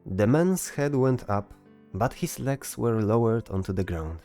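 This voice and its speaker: A man's voice: lowish voice, lively intonation, unhurried, dark tone. A man reading scripted narration for a fantasy story as its narrator. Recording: music behind the voice. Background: music.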